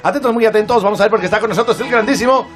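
A man playing a cheerful, breathless eighteenth-century pop radio DJ, exuberant and shouty, announcing in a parody music show. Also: sits high, racing, lightly acted. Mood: hyped, exuberant. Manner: exuberant, shouty, drops in English words.

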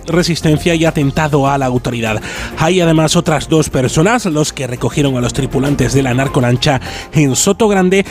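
A male regional reporter delivers a scripted radio news report. His voice is notably high for a man, with light music behind it. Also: a little mellow.

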